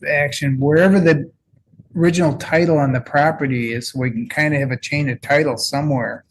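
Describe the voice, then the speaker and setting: A man's voice: a little high, poor audio. Plainspoken male judge, faint room hiss. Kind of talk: courtroom ruling.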